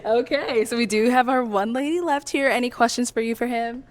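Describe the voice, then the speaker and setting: A woman's voice: on the high side, fairly quick. A woman hosting a dating show who keeps her prompts short and laughs often. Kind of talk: casual chat.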